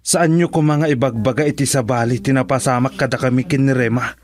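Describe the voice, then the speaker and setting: A man's voice: mid-range voice, fairly even intonation, close to an everyday voice. A man with a Filipino accent playing a flustered, eager young father-to-be in a radio drama, stammering as he speaks. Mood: stunned, hesitant. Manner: stammering, halting. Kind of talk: Ilocano radio drama acting.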